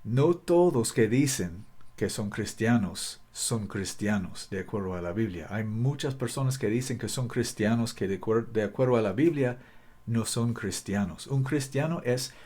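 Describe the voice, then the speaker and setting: Low-voiced man teaching the Bible haltingly. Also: animated, a little mellow. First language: English. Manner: careful, halting, frequent restarts. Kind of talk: video Bible study. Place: Costa Rica.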